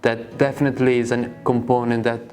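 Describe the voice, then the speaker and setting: A man's voice: mid-range voice, flat delivery, a little fast, darkish tone. A man from northern Italy, speaking reflectively and asking questions in a documentary interview.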